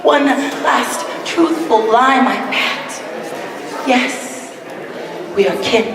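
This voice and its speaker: A hoarse, raspy-voiced woman performing spoken word poetry on a stage, slow and emphatic, chatting casually with the audience between poems. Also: high-pitched. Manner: slow, emphatic dramatic delivery with chatty asides to the crowd. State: hoarse, extra raspy today. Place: live stage event in a large hall. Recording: echoey hall, distant mic, audience audible.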